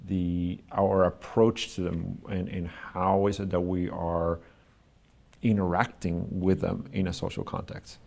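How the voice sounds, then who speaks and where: A male artist giving thoughtful interview answers, pausing often to search for the right words. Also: sits low, flat delivery, creaky, darkish tone.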